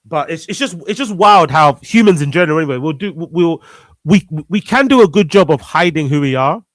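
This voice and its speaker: A man's voice: on the high side, lively intonation, brisk, dry recording. A man in a casual conversation, earnest and reflective, repeating phrases for emphasis. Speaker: podcast guest.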